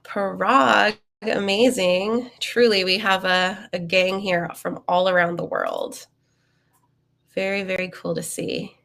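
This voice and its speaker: A young woman with a bright, forward voice hosts a live webinar, upbeat and chatty. She sounds a little sniffly from a cold and fills her talk with ums and uhs. Her pitch sits in the middle range. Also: fairly even intonation, takes it slowly, vocal fry. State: sniffly, getting over a cold. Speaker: webinar host.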